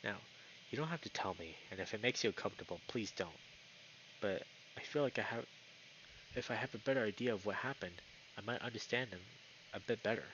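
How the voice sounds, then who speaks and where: A man voicing an earnest, gentle young male buck in a visual novel, speaking softly and hesitantly with concern. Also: on the low side, fairly quick, lo-fi sound, slight background noise, close to an everyday voice. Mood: careful, gentle. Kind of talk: visual novel character read aloud by a streamer. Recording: music behind the voice.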